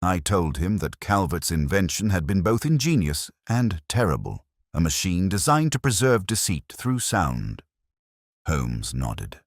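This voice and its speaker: A man narrating a scripted mystery story in a measured, solemn, dramatic literary manner. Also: sits low, very animated, no echo, studio quality. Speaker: audiobook narrator.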